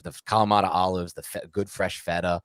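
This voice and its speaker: A man's voice: low-pitched, little pitch movement, brisk, smooth, dry recording, clean studio sound. An American man co-hosting a sports talk show, chatting casually in a rambling, opinionated and emphatic way. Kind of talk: casual live Q&A chat about American football.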